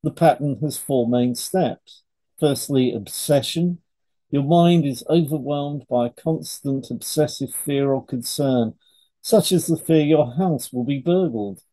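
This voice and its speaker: A man co-hosting an educational podcast, reading scripted material in a measured, instructive way. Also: mid-range voice, mellow, poor audio. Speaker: podcast co-host, hypnotherapist. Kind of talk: scripted educational podcast read aloud in turns.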